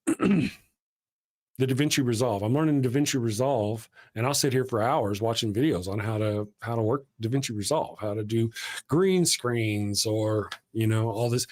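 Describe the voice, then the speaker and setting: A man of middle pitch hosts a live stream in a loose, rambling way. He is chatty and quick to make fun of himself. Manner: chatty, rambling and self-deprecating. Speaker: host of a live show. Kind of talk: casual live-stream chat.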